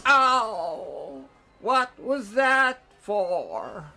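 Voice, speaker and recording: Man playing a wordy, pompous, slow-talking fool. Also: high voice, sing-song, creaky, bright, forceful tone, full character voice. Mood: indignant. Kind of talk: comedy audio drama acting.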